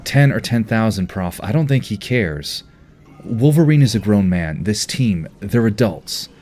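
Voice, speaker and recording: A man's voice: fairly low pitch, lively intonation, measured pace, darkish tone, faint music behind. A man playing an earnest, caring team leader in an audio drama, speaking with sincere concern. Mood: earnest, frustrated.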